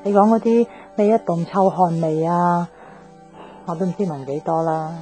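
A woman's voice: fairly low pitch, moderate pace, mellow, lightly acted. An actress playing a restless young woman in a radio drama, talking in an insistent, explaining way. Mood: wistful, insistent. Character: restless young woman friend craving a new life. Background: faint background music.

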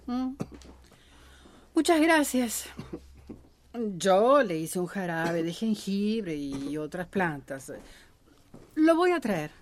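An actress playing a hesitant, flustered village herbalist who repeats herself haltingly in a radio drama. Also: female voice, medium pitch, big pitch swings, slight background noise.

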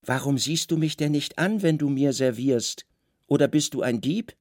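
A man's voice: medium pitch, moderate pace, darkish tone, no room sound, studio quality, pitched above the performer's own voice, close to an everyday voice. A man voicing an imperious, volatile middle-aged Roman governor in a radio play, with abrupt delivery. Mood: irritable, threatening.

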